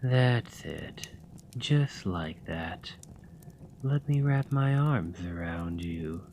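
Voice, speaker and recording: A man voicing a doting, teasing male dragon lover in an ASMR roleplay, talking softly and coaxingly. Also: low voice, expressive, very slow, a little hiss or hum, full character voice. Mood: tender. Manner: soft, playful and coaxing.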